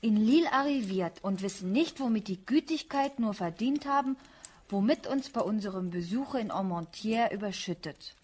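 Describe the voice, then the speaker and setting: An actress playing a coquettish, proud and easily flustered young merchant's daughter in a German radio play. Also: female voice, medium pitch, lively intonation, moderate pace, darkish tone, husky. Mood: careful, proud.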